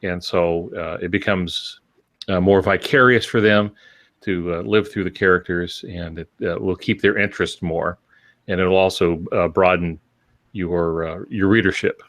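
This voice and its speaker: A man's voice: deep voice, fairly even intonation, measured pace, a bit bright, dry recording. An older man co-hosting a podcast, giving practical advice in casual conversation. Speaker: podcast co-host.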